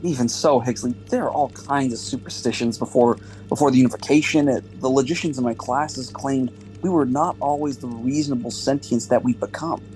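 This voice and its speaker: A man's voice: mid-range voice, fairly quick, darkish tone, soft background music, close to an everyday voice, poor audio. A young man playing a curious, naive and slightly snobbish alien trainee in an audio drama, with a questioning, hesitant manner. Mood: skeptical.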